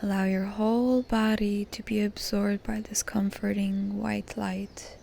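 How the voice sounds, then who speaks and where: Slow, soft-spoken woman guiding meditation. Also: mid-range voice, creaky, a little hiss or hum. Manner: calm, gentle, pausing between short phrases. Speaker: guided meditation host. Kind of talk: guided meditation, soft slow soothing delivery.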